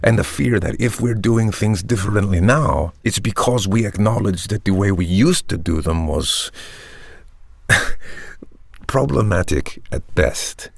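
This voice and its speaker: An actor playing a self-justifying vampire tech entrepreneur, speaking in a measured, articulate, earnest way in a comic stage monologue. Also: male voice, fairly low pitch, vocal fry, breathy edge, dry recording, heavily acted. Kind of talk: theatrical monologue in the manner of a startup pitch.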